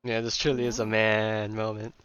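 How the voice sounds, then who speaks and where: A woman's voice: rumbling low, level tone, normal speed, clear, resonant tone. A woman streamer giving casual, laid-back, slangy commentary during a game playthrough, on a dull close microphone.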